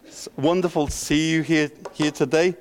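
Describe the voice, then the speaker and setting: A man's voice: fairly high pitch, fairly even intonation, moderate pace. A middle-aged man from Wales with a Welsh accent, preaching a sermon in a joking, self-deprecating way, with a slight stutter and frequent restarts. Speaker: pastor.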